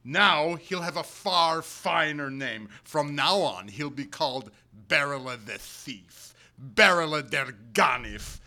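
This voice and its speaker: A man's voice: on the high side, slow pace, pushed and bright, heavily acted. A man voicing a stern, mocking old male schoolteacher who taunts his pupils in a chanting sing-song.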